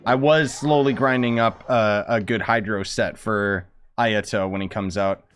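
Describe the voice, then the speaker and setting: A man's voice: fairly low pitch, fairly even intonation, a little slow, fairly bright tone, clear, resonant tone. A young man giving casual gameplay commentary in a rambling, slangy, often exasperated manner. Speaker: game streamer. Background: game music.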